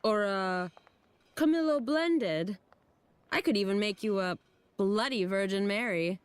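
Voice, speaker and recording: A woman voicing a flirty female barmaid in a game who coaxes her customers with a playful sales pitch. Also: measured pace, smooth, no echo, lightly acted. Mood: coaxing. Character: flirty female barmaid, weary but eager to please. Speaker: game character. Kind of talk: voiced game dialogue.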